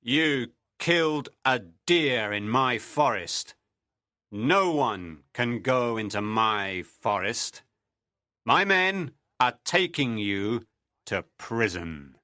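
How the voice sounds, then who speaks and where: A man's voice: mid-range voice, animated, slow, bright, forceful tone, no echo, studio quality. A man voicing a cruel, greedy medieval lord who barks orders, as an audiobook reading. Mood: harsh, commanding. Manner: barking, commanding.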